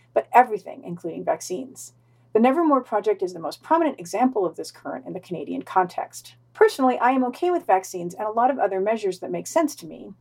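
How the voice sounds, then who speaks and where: A woman's voice: medium pitch, mellow. A woman co-hosting a podcast, reading news summaries and long quotes with wry, dry asides. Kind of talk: casual news roundup with read-out quotes.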